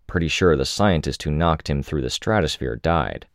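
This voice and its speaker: A man voicing a stoic, stubborn hero and field leader in an audiobook, steady and plain-spoken. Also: rumbling low, normal speed, no room sound, studio quality. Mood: resigned.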